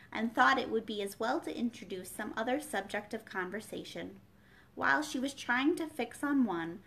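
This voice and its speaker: A woman's voice: a little high, gravelly, fairly bright tone, rough recording. A young adult woman reading a children's novel aloud as a storyteller, recorded in a room at home. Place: small furnished room at home, indoors.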